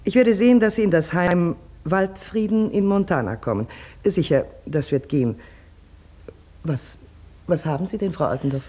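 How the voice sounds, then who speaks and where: An actress in a German radio play playing a brisk, reassuring woman doctor, matter-of-fact in manner. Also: lowish voice, animated, warm tone, husky.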